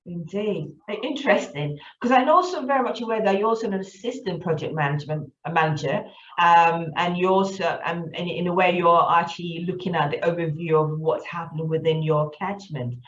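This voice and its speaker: A woman hosting an interview podcast in a formal, welcoming style, speaking haltingly and often rephrasing herself. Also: fairly low pitch, reverberant, lo-fi sound.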